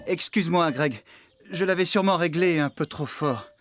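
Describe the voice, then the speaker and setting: A man playing an eager, excitable farmhand and treasure hunter in a French-dubbed children's cartoon. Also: fairly high pitch, normal speed, natural voice. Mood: explaining. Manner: excitable, boastful. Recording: music behind the voice. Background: music.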